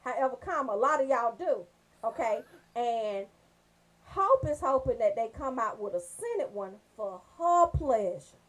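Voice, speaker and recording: Brief: female comedy radio host, high voice with big swings, brash and emphatic, riffing through raunchy banter. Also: unhurried. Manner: brash, animated storytelling with emphatic check-ins.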